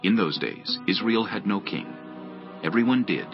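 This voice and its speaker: A man's voice: on the low side, very animated, normal speed, dull audio. A man narrating scripture from a script in a dramatic audiobook style. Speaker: Bible narrator. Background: music.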